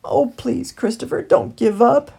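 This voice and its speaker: Storybook role: steady, practical rat friend read by a woman, encouraging and earnest, with expressive swings in pitch. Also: unhurried, husky, heavily acted. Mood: pleading. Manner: earnest, urging. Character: brave, encouraging, practical male rat companion.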